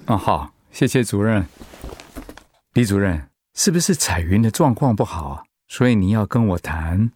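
A man's voice: on the low side, sing-song, normal speed, a little mellow, slightly rough, no echo. A man acting in a radio drama as a humble, anguished pastor and devoted husband, speaking in a heartfelt, sighing way. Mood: anxious.

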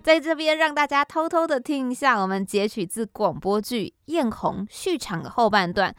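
A woman's voice: fairly high pitch, animated, moderate pace, clear, resonant tone, no echo, studio quality. A woman with a Taiwanese Mandarin accent hosting a podcast and introducing it in a casual, explanatory way. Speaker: podcast host and scriptwriter. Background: background music.

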